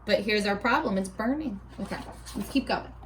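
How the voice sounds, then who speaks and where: A woman teacher reading a storybook aloud to young children in an expressive sing-song way, stopping to ask prompting questions. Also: mid-range voice, normal speed, a little hiss or hum.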